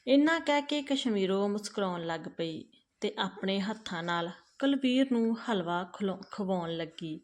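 A woman reads a moral family story aloud in a measured, earnest way. Her voice sits at an ordinary middle pitch. She ends by addressing her listeners directly. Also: lively intonation, darkish tone.

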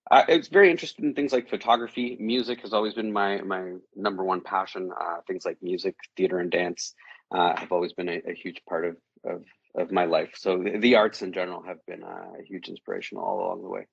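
A very deep-voiced man from Chile gives interview answers in a husky tone. His speech is thoughtful and dotted with fillers and restarts. The sound is dry and dull, as over a lo-fi remote line. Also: steady tone, a little fast, no echo. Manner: thoughtful, many fillers, repeats words. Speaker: guest, creative director.

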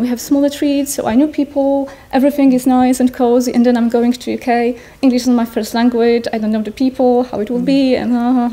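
Earnest Polish woman with a mellow voice. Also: on the high side. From Poland. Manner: earnest, searching for words, non-native phrasing. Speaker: speaker at a Buddhist gathering. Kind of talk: personal talk to an audience. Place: large tent in front of an audience. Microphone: stand mics in front of her.

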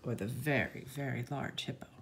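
A woman reading a picture book aloud to young children, speaking to them directly in an expressive storytelling manner. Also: very deep voice, moderate pace, vocal fry, a little hiss or hum.

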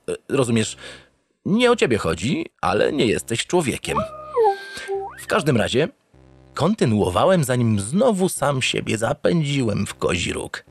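Wildly animated, pompous male starship captain. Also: medium pitch, measured pace, vocal fry, husky, faint music behind, exaggerated voice. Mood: excited, earnest. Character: naive, self-satisfied, doting male spaceship captain. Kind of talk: comic first-person radio drama narration.

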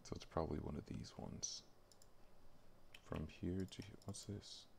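A male livestreamer with a very deep voice full of fry talks casually to himself while working at a computer. His delivery is halting and muttered. Faint background noise and keyboard clicking sit behind him. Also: darkish tone. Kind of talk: casual think-aloud while working on game files.

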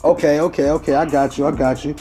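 A man's voice: fairly high pitch, steady tone, fast, over music. A man hosting a casual interview over a remote call, talking loosely and filling gaps with repeated "okay, okay" and "I got you.". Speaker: interview host.

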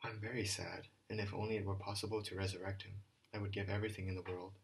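A proud, prickly teenage boy talks low and clipped, with a sharp edge, read by a male storybook narrator. The voice stays low and husky. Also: fairly even intonation, fairly quick, poor audio. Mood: hushed. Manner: hushed, clipped, irritable.

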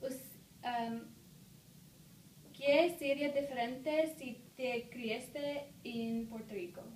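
A young woman interviewing in an office conference room, reading her questions slowly with learner's phrasing. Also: high-pitched, fairly even intonation. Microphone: far phone camera. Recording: roomy, distant, faint hiss.

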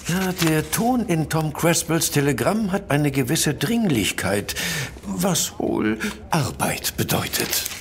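Urbane Victorian master detective for audio drama, self-assured, with a creaky edge and very lively intonation. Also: male voice, on the high side, slow, noisy background, full character voice. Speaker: lead actor in an audio drama. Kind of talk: radio play acting. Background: music.

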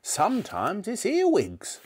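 A man acts out a wicked little old grandmother from a children's storybook. The voice is pitched very high and swings wildly up and down. This granny is bossy and scornful, snapping out every order and relishing anything disgusting. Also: wildly swooping pitch, slow pace, husky, full character voice. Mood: gleeful, sinister. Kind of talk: storybook character reading.

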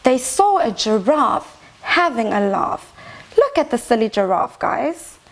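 A young woman reads a children's story as a teacher would for her youngest class. Her voice is high and swings widely in pitch. She takes her time, shaping the words expressively and inviting the children in. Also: a little slow. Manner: expressive, prompting children with questions. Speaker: infant teacher reading a storybook. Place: small decorated indoor reading corner.

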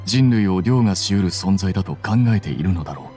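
A cool analytical young scholar in an anime game, deep voice, composed and detached. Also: male voice, expressive, fairly quick, mellow, faint music behind.

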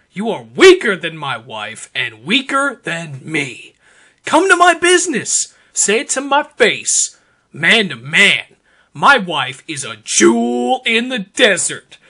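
A man giving an over-the-top dramatic reading, theatrical, emphatic and deliberate. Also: sits high, bright, forceful tone, slightly rough. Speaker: performer reading social media posts aloud.

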